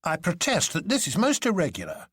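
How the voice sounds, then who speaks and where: Audiobook role, man performing: indignant male doctor, emphatic, formal, raised voice, lively swings, close and dry. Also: a little high, brisk, husky, dry recording, pitched above the performer's own voice, exaggerated voice. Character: indignant, agitated male doctor acting as duelling second. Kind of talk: dramatised audiobook character voice.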